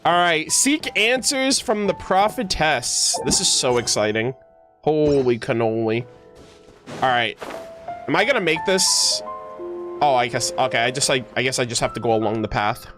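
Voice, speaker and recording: A woman streamer giving casual, excitable, slangy reaction commentary while playing a game. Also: rumbling low, normal speed. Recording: faint game music behind the voice.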